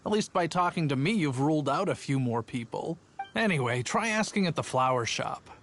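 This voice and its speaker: A talkative young city guard who brags but hid from the fight. His voice sits slightly high, with faint noise in the game audio. He spins his story eagerly and turns defensive when pressed. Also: lively intonation, a little fast, a bit bright. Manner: chatty and boastful, a bit sheepish. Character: young male city guard, chatty, cowardly. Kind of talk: video game voice acting.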